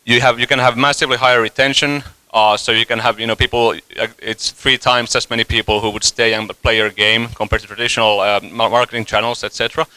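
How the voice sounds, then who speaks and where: A man's voice: medium pitch, little pitch movement, quick pace, pushed and bright, clean tone, dry recording, poor audio. A man in his thirties giving a casual, joking conference talk, with many fillers and restarts. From Finland. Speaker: conference speaker, company CEO. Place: conference hall stage.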